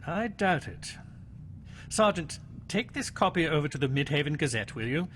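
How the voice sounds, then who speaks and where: An actor playing a dry, methodical police inspector in a period radio crime drama, deliberate and authoritative. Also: male voice, fairly high pitch, sing-song, a bit bright, a little hiss or hum.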